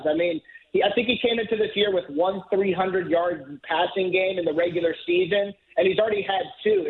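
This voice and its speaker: Man, football analyst on a call, higher voice, sounds phoned in. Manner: fluent, analytical, repeats words when starting. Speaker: NFL writer guest. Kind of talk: phone-in radio interview. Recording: tinny phone line.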